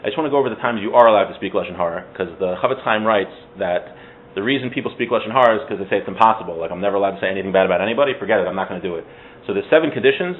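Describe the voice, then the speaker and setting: A man giving an informal religious class as its teacher, conversational, explaining texts with everyday examples. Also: on the low side. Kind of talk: informal religious lecture with Hebrew source readings translated. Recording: muffled phone-like audio with faint background noise.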